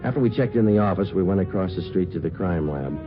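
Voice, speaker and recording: An actor playing a terse, matter-of-fact police sergeant who also narrates, in the clipped style of 1950s radio drama. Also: male voice, low-pitched, lively intonation, fast. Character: terse, businesslike male police sergeant, a detective. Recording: dull vintage radio recording with music.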